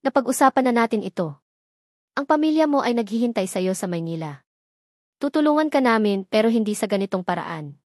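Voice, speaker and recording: A woman voicing a worried older father who speaks gently but firmly. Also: a little high, lively intonation, a little fast, no room sound, studio quality, lightly acted. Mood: calm.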